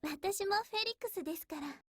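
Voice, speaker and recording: A woman playing a polite, youthful maid in a visual novel, gentle and smiling with a teasing streak. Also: very high voice, racing, dry recording, clean studio sound. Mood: calm, smiling. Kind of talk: visual novel anime-style voice acting. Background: game background music.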